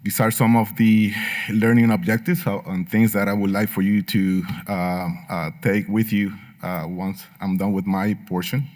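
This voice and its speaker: Low-voiced Puerto Rican man speaking. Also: little pitch movement, moderate pace, darkish tone. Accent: Puerto Rican Spanish. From Puerto Rico. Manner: frequent fillers, light humor, earnest. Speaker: patient speaker at a medical symposium. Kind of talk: conference talk.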